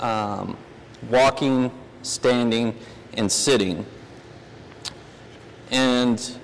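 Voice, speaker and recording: American man teaching in echoey hall. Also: medium pitch. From New Orleans, United States. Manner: halting, conversational. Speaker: Bible teacher at a church. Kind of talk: informal sermon with scripture reading. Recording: reverberant hall, noisy room ambience.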